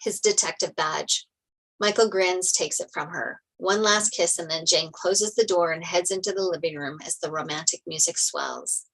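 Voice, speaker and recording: A woman narrates a screenplay, reading out the stage directions. Her voice is a little low in pitch, in a table-read style. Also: normal speed, a bit bright, rough recording.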